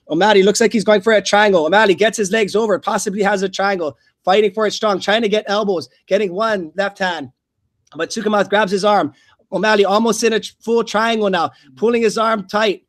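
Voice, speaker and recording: Male MMA play-by-play commentator, high voice, dry close sound, halting live delivery. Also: no room sound.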